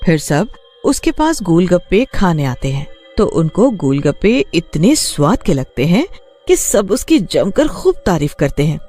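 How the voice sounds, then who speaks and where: A woman's voice: on the low side, very animated, unhurried, dark tone, soft background music. A woman giving scripted narration of a cartoon folk tale.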